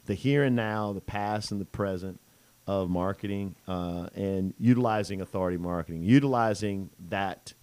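Man, low voice, radio host, rambling, joking, dry sound. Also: normal speed, darkish tone, smooth, dry recording. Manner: rambling, joking, many fillers and restarts. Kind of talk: casual interview chat.

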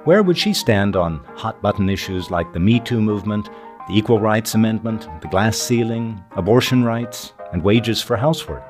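A man hosting a podcast, reading scripted narration in a measured, formal way. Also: lowish voice, lively intonation, over music. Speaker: podcast host and author.

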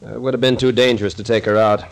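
An actor playing a gruff, shrewd captain who takes charge, dry and commanding, in an old radio thriller. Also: male voice, medium pitch, flat delivery, rapid-fire, natural voice. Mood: dry, explaining.

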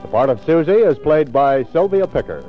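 Vintage male announcer, lively swooping delivery, muffled radio sound with faint music behind. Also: fairly high pitch, normal speed.

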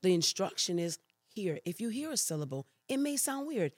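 A Puerto Rican-born woman pastor with a New York accent, telling stories in an animated, emphatic way in a podcast interview. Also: medium pitch, normal speed, breathy edge, no room sound, studio quality. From Puerto Rico.

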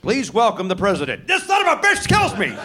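A man works a theatre crowd from the stage, his speech a little higher than most and swinging widely up and down. He riffs and jokes with sarcastic, profane asides. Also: wildly swooping pitch, brisk, sharp tone. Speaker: comedy podcast host. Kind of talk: live stand-up banter with the audience. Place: theatre stage before a live audience.

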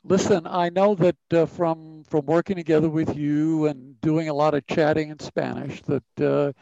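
A man's voice: a little high, little pitch movement, moderate pace, vocal fry, warm tone, no echo, highs cut off. A middle-aged man hosting a design podcast, friendly and loose, with lots of "uh" and restarts. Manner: hesitant, many fillers. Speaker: podcast host. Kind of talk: podcast interview.

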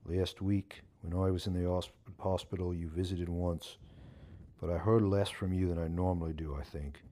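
Audiobook role: male reader as a plain-spoken young man, weary, guarded, caring, low-voiced. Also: steady tone, moderate pace, dark tone, lightly acted. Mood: sad, firm. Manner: frank, resigned, plain-spoken.

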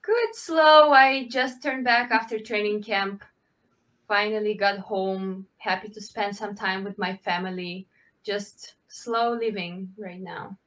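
A woman, answering interview questions in halting, full of fillers. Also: mid-range voice, a bit bright. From Ukraine. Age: 20s. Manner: halting, with frequent fillers and restarts, non-native phrasing. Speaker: guest, Olympic swimmer.

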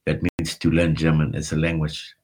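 An older man from Namibia, an Afrikaans speaker, giving reflective interview answers in a halting way with restarts and repeated words. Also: a bass voice, monotone, a little mellow, slightly rough. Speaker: interviewee, former liberation activist and tour guide.

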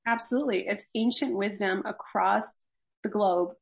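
A woman's voice: medium pitch, normal speed, vocal fry. A young woman from Los Angeles, a gerontology faculty member, explaining health principles earnestly in a conversational interview. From Los Angeles, USA. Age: young adult.

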